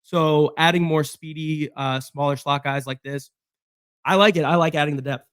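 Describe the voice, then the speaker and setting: A hoarse man with a fairly high voice talks through a football roster on his own, rambling with fillers and restarts. The sound is dry and close, with no room around him. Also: steady tone, moderate pace, fairly bright tone, no room sound. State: hoarse from being sick. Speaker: sports podcast host. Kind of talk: casual solo sports breakdown.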